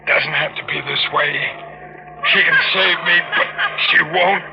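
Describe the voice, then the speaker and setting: Brief: brooding, doomed male fisherman, gravelly, vintage radio drama, muffled, music loud behind. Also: on the high side, steady tone, measured pace. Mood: anguished, bitter. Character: anguished doomed fisherman narrating his own tragedy. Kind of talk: 1940s radio acting.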